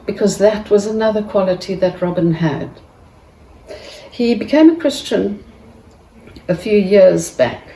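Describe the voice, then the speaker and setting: A woman speaks slowly and haltingly in a mellow voice, restarting her sentences often. She sits back from the mic in an echoey room. A faint hum of ambience lies underneath. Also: mid-range voice, recorded from a distance. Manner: halting, with many restarts and pauses. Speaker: family member giving a tribute. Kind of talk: tribute speech.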